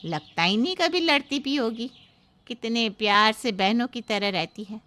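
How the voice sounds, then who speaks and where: A woman's voice: a little high, expressive, moderate pace, bright, forceful tone, a little hiss or hum. A woman voicing a chatty, weary middle-aged neighbour in a cartoon moral story, gossipy and given to complaint. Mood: admiring.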